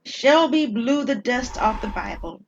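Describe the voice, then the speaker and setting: A woman's voice: a little high, animated, measured pace, dull audio, slight background noise. Woman narrating a suspense story. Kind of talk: dramatic scripted story narration.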